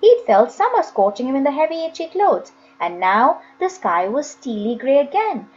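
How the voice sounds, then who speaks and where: A woman's voice: high-pitched, big pitch swings, normal speed, muffled recording. A woman narrating a children's novel expressively, as an audiobook reader.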